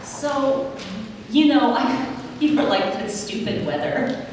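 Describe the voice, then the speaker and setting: A woman's voice: a little high, sing-song, slow, a crowd in the background, background noise. A woman interviewing a guest on a convention panel, friendly and chatty, with fillers. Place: large convention hall. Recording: echoey hall recorded from far off.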